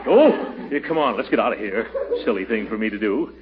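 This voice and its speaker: An actor playing a pompous middle-aged uncle who blusters, chuckles and hems, in 1940s radio comedy style. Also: male voice, lowish voice, lively intonation, brisk, close to an everyday voice. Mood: blustery. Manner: blustery, chuckling, hesitant hemming. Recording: dull old radio recording.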